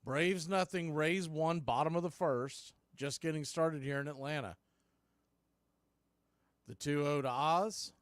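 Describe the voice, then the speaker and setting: A man with a slightly high voice calls a baseball game live. He talks like a devoted fan, informal and colloquial, in the style of play-by-play commentary. The sound is close and dry, with no room echo. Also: measured pace, pauses often. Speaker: baseball play-by-play announcer for a fan watch party.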